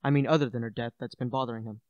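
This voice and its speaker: A teenage male student actor playing a sensible, dismissive gentleman in a radio play, brisk and matter-of-fact. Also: medium pitch, fairly even intonation, no room sound, lo-fi sound, lightly acted. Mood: concerned. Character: sensible, dismissive gentleman, loyal old friend.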